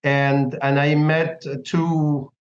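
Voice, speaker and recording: A man's voice: a little high, little pitch movement, slow. A man from Argentina with an Argentine Spanish accent, a guest telling his life story in a casual interview, often hesitating and restarting his sentences. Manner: hesitant, many restarts, laughs easily. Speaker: guest, laundromat owner and business broker. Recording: echoey room, dull lo-fi sound.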